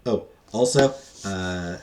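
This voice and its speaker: Hesitant, slightly low-voiced man reviewing casually. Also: darkish tone. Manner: hesitant, halting, rambling; self-deprecating. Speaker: book reviewer. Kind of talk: casual unscripted book review.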